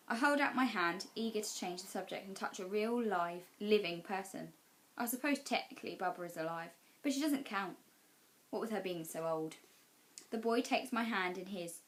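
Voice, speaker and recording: A woman narrating a children's novel as a teacher would for class storytime, expressive and measured. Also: medium pitch.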